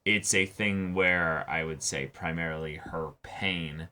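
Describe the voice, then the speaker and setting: Low-voiced man, analytical chat. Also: fairly even intonation, takes it slowly, pushed and bright, clean tone. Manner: thoughtful, analytical, many fillers and restarts. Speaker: co-host reacting to anime. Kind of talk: casual discussion.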